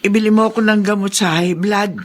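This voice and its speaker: A worried older woman in a radio drama, played by an actress, living in hard times with her husband. She speaks plaintively and with concern, her voice at an everyday pitch. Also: fairly even intonation, brisk, lightly acted. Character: older wife, once well-off, now poor and ailing.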